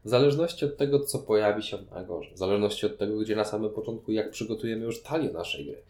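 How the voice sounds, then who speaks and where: A man's voice: lowish voice, quick pace, darkish tone. A man in casual conversation, co-hosting a podcast and reviewing games, recorded with a roomy echo. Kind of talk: casual chat, board game review.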